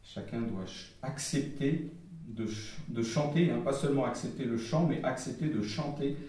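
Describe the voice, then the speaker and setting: A man speaking at a church gathering, summarizing group feedback and reading out notes in a halting way, with frequent pauses and restarts. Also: mid-range voice, dark tone. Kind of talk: public talk, reading out notes. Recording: very echoey hall, voice from far off the mic.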